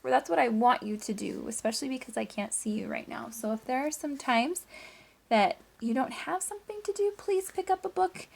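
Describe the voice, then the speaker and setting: Lady teacher doing a kids read aloud, kinda high voice, really stresses and stretches words. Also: vocal fry. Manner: expressive, storytelling emphasis with drawn-out words and hushed asides. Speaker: teacher reading aloud to pupils. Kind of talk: picture-book read-aloud for children.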